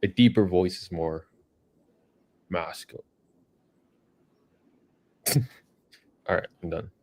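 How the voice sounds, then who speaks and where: Frank man with a low voice. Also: fairly even intonation, slow pace, darkish tone. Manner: frank, motivational, tough-love. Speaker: co-host answering chat questions. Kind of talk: casual live-stream chat.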